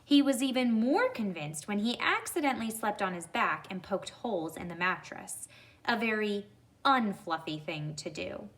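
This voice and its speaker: A woman reading a children's story aloud, addressing young listeners deliberately and pausing to ask them questions. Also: medium pitch, lively intonation, fairly bright tone.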